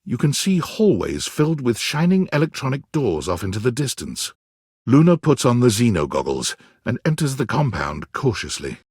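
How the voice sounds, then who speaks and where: A man's voice: mid-range voice, breathy edge, no room sound, studio quality. A man playing a narrator who tells a sci-fi video game adventure in dramatic, scripted storytelling.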